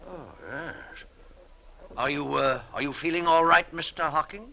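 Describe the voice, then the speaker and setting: A man acting in a 1950s radio drama as a fussy, polite but impatient museum official who speaks formally and haltingly. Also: on the high side, gravelly. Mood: fussy, flustered. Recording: muffled 1950s radio recording, faint noise.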